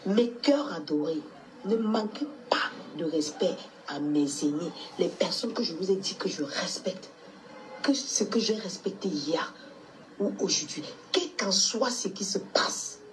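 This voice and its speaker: A woman's voice: on the low side, animated, unhurried, a little hiss or hum, low-quality recording. A woman with a cold, coughing now and then, talking to her fans in a motherly, scolding way on a live stream. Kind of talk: casual live stream address.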